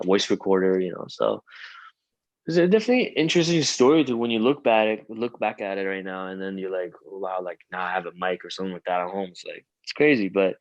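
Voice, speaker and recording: A man's voice: deep voice, no echo, highs cut off. Rambling young man, dry sound. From Iran. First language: Farsi. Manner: rambling, many fillers and restarts. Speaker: rapper guest. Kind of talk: casual interview chat.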